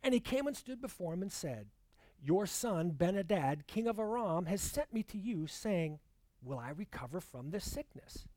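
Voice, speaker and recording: A man delivering a sermon in an earnest, measured voice. He lingers on key words as he reads scripture. The sound is dry and close, with no room echo. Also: high voice, very animated, warm tone. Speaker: preacher.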